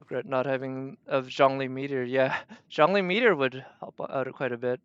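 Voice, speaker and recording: Slightly muffled close dry mic, male game streamer, smooth clear voice, casual, musing aloud. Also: medium pitch, fairly even intonation, normal speed, no room sound. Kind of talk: casual live game commentary.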